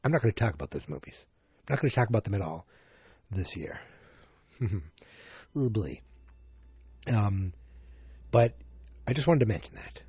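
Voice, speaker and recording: Boston guy rambling on his podcast, kinda husky, soft dark tone. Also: lowish voice, sing-song, normal speed, long pauses, no echo. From Massachusetts. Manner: rambling and self-interrupting, with frequent fillers. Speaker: podcast host. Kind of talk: solo podcast commentary.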